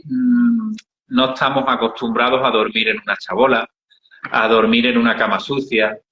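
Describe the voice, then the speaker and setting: A middle-aged man with an Andalusian Spanish accent, telling travel anecdotes in an unhurried interview style, with frequent hesitations. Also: mid-range voice, pushed and bright. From Andalusia, Spain. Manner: unhurried storytelling with many hesitations. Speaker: interviewee, founder of an aid project. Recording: dull, roomy recording.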